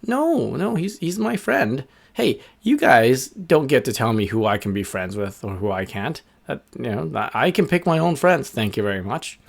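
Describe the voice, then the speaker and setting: A man's voice: medium pitch, very animated, moderate pace, creaky, few pauses. A man voicing a friendly, earnest little bird in a children's story, chatty and loyal. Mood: indignant. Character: friendly little male bird, cheerful, loyal, standing up for himself.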